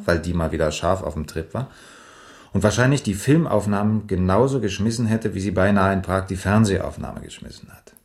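An actor playing a calm, methodical police major in a radio drama, measured and matter-of-fact with a dry weariness. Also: male voice, on the low side, natural voice. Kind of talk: 1980s German radio drama acting.

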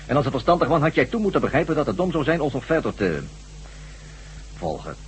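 An actor playing a menacing, condescending interrogator in a Dutch radio drama, speaking with sardonic deliberation. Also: male voice, medium pitch, sing-song, fast talker, natural voice. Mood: condescending threat. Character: menacing, condescending male interrogator, secret-project villain. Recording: noisy radio recording with hum.

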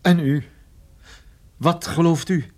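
A man's voice: fairly high pitch, takes it slowly, darkish tone, no echo. An actor playing a wry, easily rattled male private detective in a radio drama. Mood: agitated. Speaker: lead actor and narrator. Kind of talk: radio detective drama with first-person narration.

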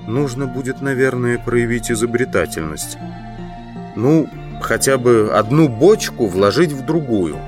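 A man playing a pensive entomologist who thinks aloud in an audiobook drama, with deliberate, reflective phrasing. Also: medium pitch, lively intonation, close to an everyday voice. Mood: musing. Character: pensive entomologist absorbed in abstract speculation. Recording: music behind the voice.

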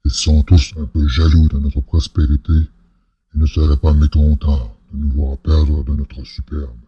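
Man acting a very deep, husky, solemn statesman. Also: flat delivery, dry recording, muffled recording, exaggerated voice. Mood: measured, confiding. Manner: measured, formal, solemn. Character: grave, courteous male head of state in space opera. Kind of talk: science-fiction audio drama acting.

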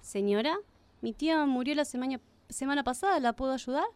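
An actress playing an earnest, polite, hesitant young niece in a radio drama. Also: female voice, sits high, a little fast, clean tone, lightly acted.

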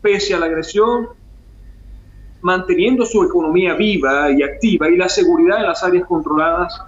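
A man's voice: high-pitched, normal speed, reverberant, muffled recording, slight background noise. A Venezuelan man with a Venezuelan accent, an international analyst speaking emphatically as a guest on a remote radio interview. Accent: Venezuelan Spanish.

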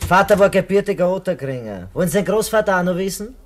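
Cheeky, high-voiced Viennese miner. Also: male voice, animated, fast talker, slight background noise. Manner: insolent, wisecracking. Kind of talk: radio play acting.

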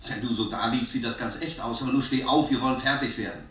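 An actor playing an impatient studio director in a 1960s German radio crime play, in a roomy sound. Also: male voice, medium pitch, fairly even intonation, quick pace, a bit bright, lightly acted.

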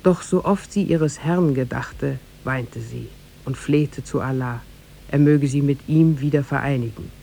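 A man narrating a tale in a 1950s German radio play, formal and measured. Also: mid-range voice, gravelly, dark tone, slight background noise. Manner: formal, measured storybook delivery.

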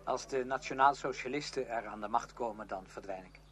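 An actor playing a headstrong, outspoken young music student in a Dutch radio play, speaking emphatically and with defiance. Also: male voice, mid-range voice, a little fast, fairly bright tone, lightly acted. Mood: brisk, bitter. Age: young adult. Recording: noisy old radio drama recording.

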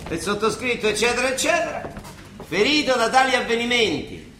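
Disillusioned papal judge, Roman accent, theatrical radio drama, highly animated, declamatory with wry asides, roomy echo. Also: male voice, a little fast, slight background noise, full character voice. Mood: solemn, declamatory. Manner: declamatory dictation broken by wry colloquial asides. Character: weary, ironic, disillusioned papal judge and monsignor in Rome.

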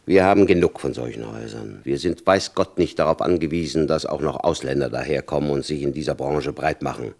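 An actor playing a hard, shrewd FBI chief in a German radio crime drama, condescending and insinuating, with a gravelly voice. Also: male voice, extremely low pitch, brisk, few pauses, darkish tone. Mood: condescending, threatening.

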